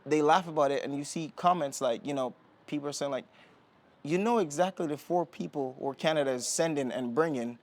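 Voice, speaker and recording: A young man from Jamaica talking as a podcast guest, relaxed and rambling with many fillers and restarts. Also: on the high side, brisk. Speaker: guest, Olympic sprinter. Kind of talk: casual interview talk. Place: indoor podcast setting.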